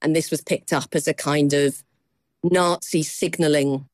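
A woman correspondent explaining the news in a measured conversational way, with thinking pauses. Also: sits low, steady tone, no echo, studio quality.

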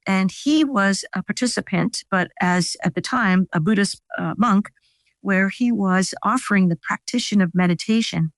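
A woman's voice: medium pitch, normal speed, no echo. A woman scientist whose first language is Italian, explaining her research in an interview with many fillers and restarts. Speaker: scientist guest. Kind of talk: interview answers.

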